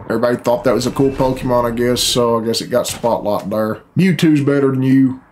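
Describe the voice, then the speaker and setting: A man's voice: medium pitch, fairly even intonation, a little fast, slight background noise. A man, giving blunt, grumbling, sweary commentary as host of a reaction video. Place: small furnished room at home, indoors. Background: loud game cutscene music.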